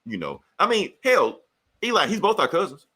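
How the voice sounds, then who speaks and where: A man hosting a casual pop-culture podcast, chatting in a profane, joking, animated way. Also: sits high, moderate pace, slightly rough. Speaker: podcast host.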